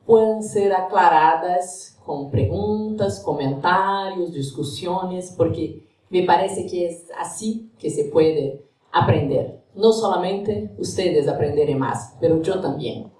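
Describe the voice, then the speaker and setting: A woman's voice: on the low side, slow pace, warm tone, far from the mic. Brazilian woman lecturing in an echoey hall. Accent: Brazilian Portuguese. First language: Portuguese. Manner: careful, searching for words in a second language. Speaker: guest lecturer. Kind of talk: academic lecture, partly read aloud.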